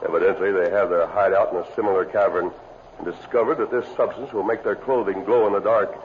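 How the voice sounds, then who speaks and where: An actor playing a calm, resolute masked western lawman in a 1950s radio adventure, speaking in formal, precise sentences. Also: low voice, fast talker, natural voice. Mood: calm, explanatory. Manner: formal, precise, explanatory diction. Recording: muffled, noisy old radio broadcast recording.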